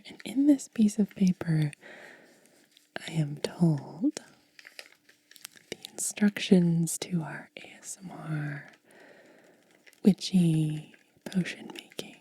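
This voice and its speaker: Woman, ASMR whisper, airy and husky, lingering slow delivery, lowish pitch. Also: dark tone, no room sound. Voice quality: breathy whisper. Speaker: ASMR host.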